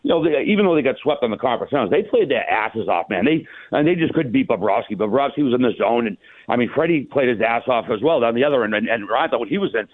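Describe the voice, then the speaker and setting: A man chatting casually as a hockey analyst guest, colloquial, with halting, repeated phrasing, over a tinny phone-like line. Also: mid-range voice, animated, no room sound. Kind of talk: casual sports chat.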